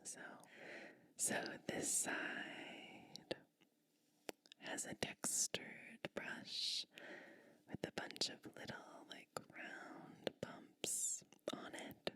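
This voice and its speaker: Female ASMR performer, gentle witch roleplay: fully whispered, very slow, soothing personal attention. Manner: soothing, gentle, unhurried instructions. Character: caring witch soothing someone to sleep.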